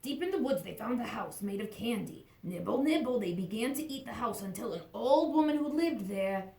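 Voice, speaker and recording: Female storybook reader for children: high-pitched, very animated intonation, indoor roomy recording. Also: normal speed, creaky. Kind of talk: animated read-aloud for children. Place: indoor room. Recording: echoey, roomy sound.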